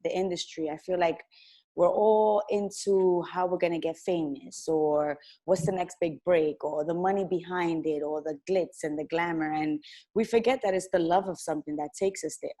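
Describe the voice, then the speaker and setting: A woman's voice: on the low side, moderate pace, warm tone. A woman hosting an interview, asking long and eager questions that ramble. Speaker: host.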